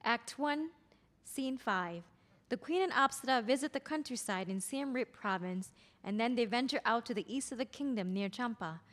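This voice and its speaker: Female narrator for stage directions, higher register, reading from script, long hall reverb. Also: moderate pace, fairly bright tone. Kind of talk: scripted narration at a table read.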